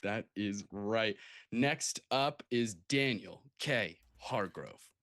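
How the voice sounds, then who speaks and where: A man's voice: lowish voice, animated, very slow, fairly bright tone, no echo, clean studio sound. A man hosting a livestream in a casual, enthusiastic and effusive way, introducing people over a video call. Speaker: host.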